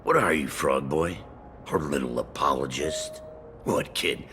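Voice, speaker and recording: A man playing a cocky, crude crime boss in a video game, with a gravelly, mocking, slangy tough-guy delivery. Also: very deep voice, measured pace, background noise. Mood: sneering.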